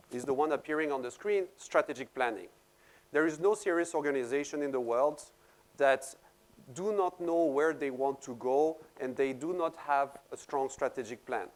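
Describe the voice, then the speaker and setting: A man's voice: medium pitch, low-quality recording. Man, French accent, seminar presenter, explanatory, repeats words mid-sentence. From France. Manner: explanatory, repeats words while searching for phrasing.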